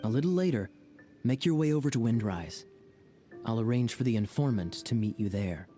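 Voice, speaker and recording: An actor playing a sly, teasing knight captain in a video game, with a smooth, playful manner. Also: male voice, medium pitch, expressive, moderate pace, dark tone, faint music behind, lightly acted.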